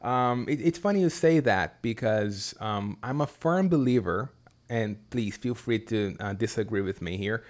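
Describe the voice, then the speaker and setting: A man's voice: on the low side, moderate pace. Brazilian man speaking English, friendly. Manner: friendly and curious, asks questions with many "um"s. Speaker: guest, English teacher. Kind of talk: casual conversation.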